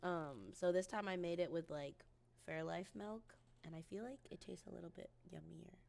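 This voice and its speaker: A woman's voice: fairly low pitch, normal speed, vocal fry, a little mellow. Chatty woman streamer getting over a cold. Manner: chatty, rambling, many ums and likes. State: recovering from a cold. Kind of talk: casual live-stream chat with viewers.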